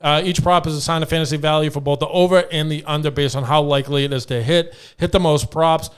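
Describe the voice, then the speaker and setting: A man's voice: fairly high pitch, steady tone, fast, clear, resonant tone. A man co-hosting a podcast, reading out a sponsor ad script. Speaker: podcast co-host.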